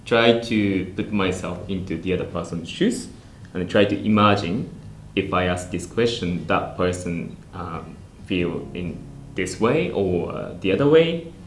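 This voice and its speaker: A man's voice: deep voice, expressive, unhurried, a little hiss or hum. A man with a Japanese accent, hosting a casual interview and speaking hesitantly with many fillers. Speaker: host. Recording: echoey room with guitar music.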